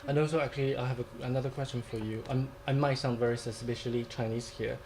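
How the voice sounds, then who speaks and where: A man's voice: medium pitch, steady tone, quick pace, a little mellow, a little hiss or hum. A man hosting an interview, asking questions and closing the show. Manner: brief encouraging backchannels. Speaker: host.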